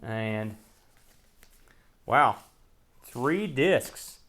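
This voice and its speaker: A man's voice: medium pitch, lively intonation, extremely slow, fairly bright tone. A man hosting a retro gaming show, giving casual, wry unboxing commentary in folksy slang as he thinks aloud while handling items.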